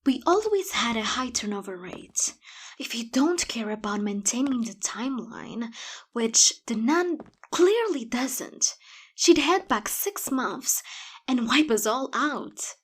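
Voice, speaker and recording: An actress playing a jaded, dryly sardonic veteran agent, husky and clipped, in audio drama dialogue. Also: female voice, fairly high pitch, takes it slowly, full character voice. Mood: grim, dry. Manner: dry, clipped, deadpan.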